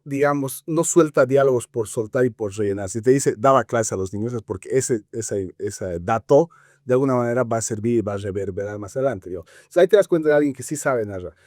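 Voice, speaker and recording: A man with a Bolivian Spanish accent talking film criticism conversationally as a podcast co-host, haltingly searching for words with frequent filler tags. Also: mid-range voice, a little mellow, no echo, clean studio sound.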